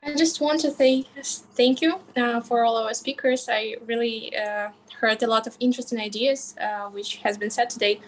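A woman's voice: fairly high pitch, fairly even intonation, normal speed, hardly any pauses, slight background noise, rough recording. A woman closing an online meeting with brief thanks over a video call. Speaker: event organizer.

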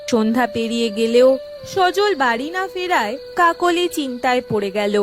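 A woman narrates a village cartoon tale. Her delivery is scripted storytelling, with music clearly audible underneath. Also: on the high side, lively intonation, moderate pace.

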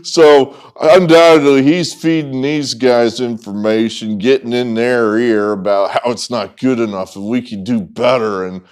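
A middle-aged man giving opinionated, off-the-cuff sports commentary in an emphatic, halting and at times sarcastic way. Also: medium pitch, steady tone, a little mellow, smooth. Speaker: sports vlogger, host. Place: indoors, small wood-walled barn room with whiteboards.

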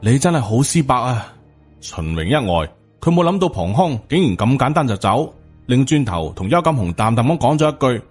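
A man's voice: mid-range voice, sing-song, a little fast, darkish tone, breathy edge, faint music behind. A man with a Hong Kong accent, narrating an audiobook as dramatic scripted storytelling.

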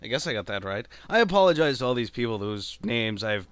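A man doing amateur game commentary, reading credits aloud in a halting, self-deprecating manner. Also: mid-range voice, very animated, background noise. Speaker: let's play host. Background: game cutscene music.

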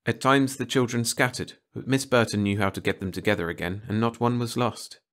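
A man's voice: medium pitch, a little fast, fairly bright tone. A man reading a scripted short story as an audiobook narrator.

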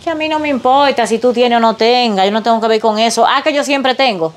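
A middle-aged woman acting as a stubborn, greedy neighbor who flatly insists on being paid. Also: a little high, fast, close to an everyday voice. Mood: demanding. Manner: blunt, insistent, unbending. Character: greedy stubborn female neighbor demanding payment.

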